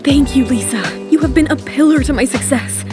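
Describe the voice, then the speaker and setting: A woman's voice: sits high, fairly quick, music in the background, close to an everyday voice. A woman voicing a composed, newly assertive young bride-to-be in an audio romance drama, firm and earnest. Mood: grateful.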